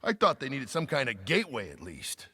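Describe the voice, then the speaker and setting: A man's voice: mid-range voice, wildly swooping pitch, a little fast, pushed and bright. A man playing an exasperated soldier in a sci-fi game, casual, griping and incredulous. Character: exasperated male soldier aboard a spaceship. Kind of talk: naturalistic game dialogue acting. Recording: long reverb of a large room.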